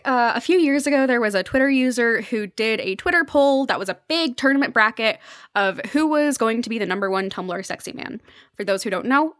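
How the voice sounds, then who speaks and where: A woman's voice: a little high, a bit bright, dry recording, clean studio sound. A woman speaking as an enthusiastic, informal podcast guest in a casual chat about fandom culture.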